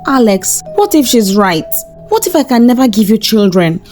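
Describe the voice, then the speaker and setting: Woman acting an anguished young wife, high-pitched. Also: very animated, darkish tone, faint music behind. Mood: hurt. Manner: pleading, halting.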